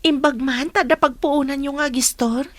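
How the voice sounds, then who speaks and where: A woman's voice: sits high, steady tone, moderate pace, no echo, close to an everyday voice. A woman playing a concerned, curious co-worker in a radio drama, with a Filipino accent. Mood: curious, friendly. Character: worried, curious female restaurant co-worker and friend.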